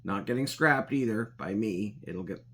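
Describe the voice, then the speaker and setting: A middle-aged man talking casually and unscripted in a home vlog, halting and rambling as he thinks aloud. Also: lowish voice, fairly bright tone, a little hiss or hum. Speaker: hobbyist electronics recycler and video host. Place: indoors at a home coffee table.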